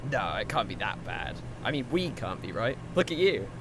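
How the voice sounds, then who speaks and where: A man in an audio drama playing a naive, incredulous man questioning his future self in halting phrases. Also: on the low side, big pitch swings, background noise. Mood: pleading, disbelieving.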